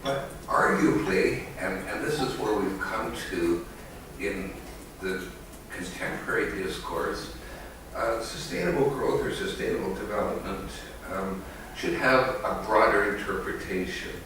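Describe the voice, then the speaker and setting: A man's voice: deep voice, lively intonation, unhurried, noisy background. A man giving an academic conference talk as an economist, hesitant, with many fillers and restarts, recorded in an echoey hall from a distant mic.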